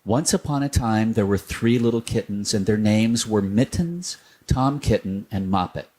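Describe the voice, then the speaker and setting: Man, storybook reader, slightly low voice, husky tone, gentle and unhurried. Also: animated. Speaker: storyteller reading a children's book. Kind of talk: children's story reading.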